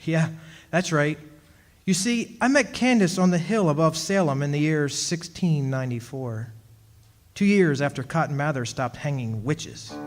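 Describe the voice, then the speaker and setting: A man's voice: a little high, lively intonation, normal speed, natural voice. An actor playing an uneasy immortal man, telling his story in a confiding, conversational way in a radio drama revival. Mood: wry, matter-of-fact. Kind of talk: stage revival of 1940s radio drama narration.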